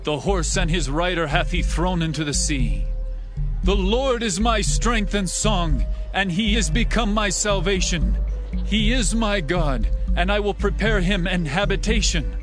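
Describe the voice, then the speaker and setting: A man's voice: high-pitched, lively intonation, unhurried. A man playing an exultant ancient worshipper reverently declaiming a victory hymn in a dramatized audio Bible. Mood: exultant praise. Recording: loud music under the voice. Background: loud music.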